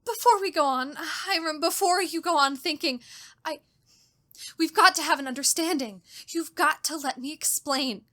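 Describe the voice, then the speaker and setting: A woman's voice: high voice, moderate pace, pushed and bright. An actress playing a terrified, genteel captive young woman in a suspense drama, with a faltering, melodramatic delivery. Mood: pleading. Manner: faltering, repeats words, melodramatic. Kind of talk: old-time radio style suspense drama, diary monologue.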